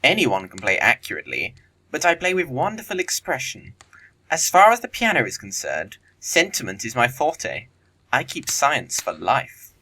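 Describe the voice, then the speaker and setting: Male actor as flippant young English dandy for Victorian comedy audio play: lively, swooping delivery, bright tone, lofty and arch. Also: medium pitch, normal speed, heavily acted. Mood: breezy, self-satisfied. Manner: arch, lofty, affected phrasing. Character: flippant young upper-class English bachelor, witty idle dandy.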